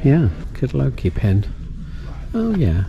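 A man's voice: medium pitch, wildly swooping pitch, slow pace, gravelly, lo-fi sound, noisy background. A middle-aged British man narrating a casual food and shopping vlog in a chatty, dry, self-mocking way, filmed on a handheld camera. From United Kingdom. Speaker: vlogger. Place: restaurant, car and store. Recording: music bed under the voice.

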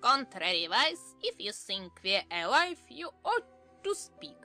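A woman plays a pompous twin brother in an audiobook play. He sounds bright and contrary, reciting slowly with big swings in pitch. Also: sing-song, faint music behind, full character voice. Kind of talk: dramatized audiobook acting.

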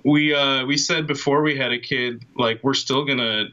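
A man's voice: mid-range voice, fairly even intonation, moderate pace, sharp tone. A young man chatting casually as a podcast guest, enthusiastic and slangy, over a video call. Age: young adult. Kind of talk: casual chat. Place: small room at home. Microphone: video call, webcam at arm's length. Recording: dull lo-fi video call audio.

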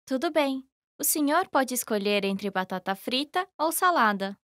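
A woman reading scripted lesson dialogue in a careful, even way. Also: high voice, normal speed, no echo, clean studio sound. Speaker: language lesson voice actor.

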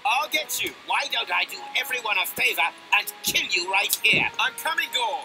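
Excitable, high-voiced cartoon game character. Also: male voice, moderate pace, music in the background, poor audio. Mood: frantic. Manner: dramatic, exclamatory. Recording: game audio with commentary noise.

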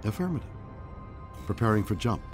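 Formal, low-voiced ship's AI. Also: male voice, big pitch swings. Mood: formal, obliging. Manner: measured, formal, precise. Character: male ship's AI companion, formal, learned, helpful. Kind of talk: scripted game voice pack explanations. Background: music behind the voice.

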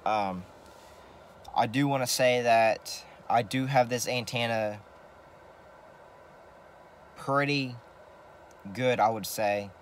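A man giving a casual, rambling gear demonstration as a vlogger, halting often and repeating himself. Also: mid-range voice, slight background noise. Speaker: vlogger showing CB radio gear.

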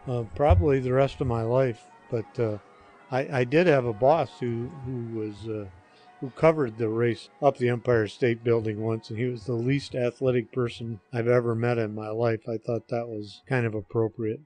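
An older man answers questions as a guest, in a medium-pitched voice. He talks haltingly and casually with dry humour, over faint music. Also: fairly even intonation, a little mellow. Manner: halting, dryly joking. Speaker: interview guest, father of the hosts.

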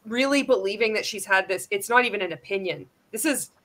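A woman hosting a panel chat, speaking in an animated, quick way with plenty of "like" fillers. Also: medium pitch. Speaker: show host. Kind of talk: casual panel discussion.

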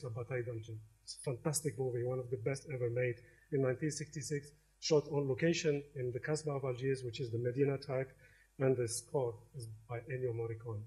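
A man's voice: mid-range voice, rough recording. Middle-aged Algerian-born man lecturing. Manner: warm, conversational, with frequent hesitations and restarts. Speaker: professor giving a lecture. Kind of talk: inaugural academic lecture. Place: lecture hall.

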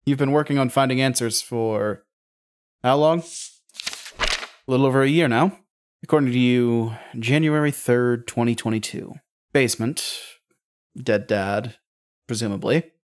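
An actor playing a cool, probing interrogator in an audio drama, speaking in a dry, deliberate, mocking way. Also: male voice, mid-range voice, steady tone, close to an everyday voice. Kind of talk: audio drama acting, naturalistic.

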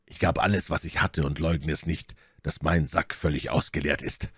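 Man as a low-voiced, commanding, wry musketeer. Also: steady tone, fairly bright tone, husky, dry recording, studio quality, full character voice. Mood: deliberate, assured. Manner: measured, unhurried, authoritative. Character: calm, commanding, wry older male musketeer. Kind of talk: audiobook character dialogue.

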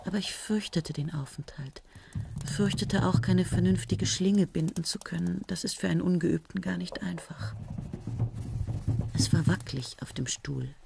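An actress playing a tired, self-mocking woman scientist in a radio play, talking quietly and often near a whisper. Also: on the low side, gravelly. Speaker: lead character and narrator. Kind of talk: 1970s German radio play acting. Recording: old radio play with loud hiss.

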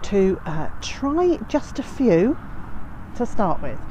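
A woman's voice: mid-range voice, big pitch swings, slow pace, background noise. A woman in her fifties, slightly bunged up with a cold, chatting casually to a handheld phone outdoors in a frosty garden, with many "uh" fillers. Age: 50s. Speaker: homesteading vlogger. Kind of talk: casual walk-and-talk vlog. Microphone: handheld phone at arm's length.

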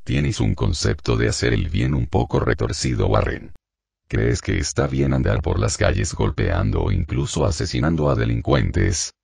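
A man playing an older shopkeeper father, stern but protective, with a gravelly, husky voice in an amateur drama dub. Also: very deep voice, wildly swooping pitch, moderate pace, darkish tone, dry recording, heavily acted. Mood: stern, disapproving. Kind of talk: amateur Spanish dub acting.

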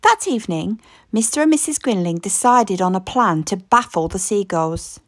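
A woman reading a children's story aloud with expressive, deliberate, dramatic narration. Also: mid-range voice, dry recording, clean studio sound. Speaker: storybook reader.

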